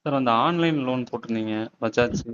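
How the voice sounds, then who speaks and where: A man's voice: mid-range voice, fairly quick, a bit bright, a little hiss or hum. A man on a recorded phone call, questioning an agent persistently as a customer. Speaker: caller asking about a loan.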